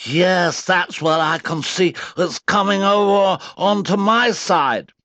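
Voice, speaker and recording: A man voicing a squeaky, timid little pig. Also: slow pace, pushed and bright, no echo, heavily acted. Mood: nervous. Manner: squeaky, fluttery, hesitant.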